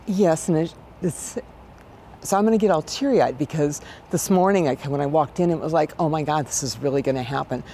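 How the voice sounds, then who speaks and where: A woman in her sixties with an American accent and a low, gravelly voice, answering conversationally as an interview guest. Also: a little fast, dark tone, a little hiss or hum. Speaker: event director, interview guest. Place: large exhibition hall, indoors. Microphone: lapel mic.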